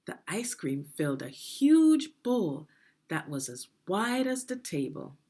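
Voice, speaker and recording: A woman reading a children's storybook aloud expressively, in a deliberate, teacherly manner. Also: lowish voice, big pitch swings, warm tone. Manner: deliberate, teacherly, asks the listener questions. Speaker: education consultant reading a children's book.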